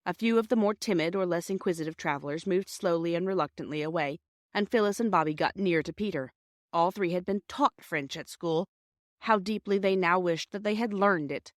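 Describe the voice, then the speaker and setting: A woman's voice: fairly low pitch, brisk, dry recording. Woman reading a storybook, very dry sound. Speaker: audiobook narrator. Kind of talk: scripted storybook narration.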